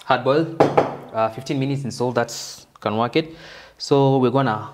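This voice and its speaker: A man's voice: mid-range voice, normal speed, very noisy. A young Kenyan man working as a guest chef, demonstrating a dish in an indoor studio kitchen, easygoing and joking with frequent fillers, recorded on a lapel mic. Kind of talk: cooking demonstration chat.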